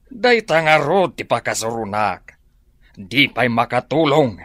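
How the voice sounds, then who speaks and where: An actor playing a short-tempered, scornful middle-aged rural father in an Ilocano radio drama, with an Ilocano accent and a husky voice. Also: mid-range voice, big pitch swings, measured pace, fairly bright tone. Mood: scornful, irritated.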